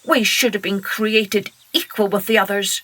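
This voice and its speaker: A woman voicing an aggrieved male duck who speaks loudly and emphatically. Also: mid-range voice, fairly even intonation, moderate pace, natural voice. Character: indignant male duck, middle brother.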